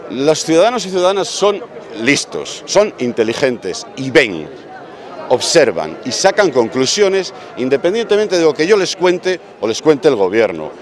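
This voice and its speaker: A man, a union representative, speaking firmly and in a measured way in a street interview outdoors. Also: a little high, big pitch swings.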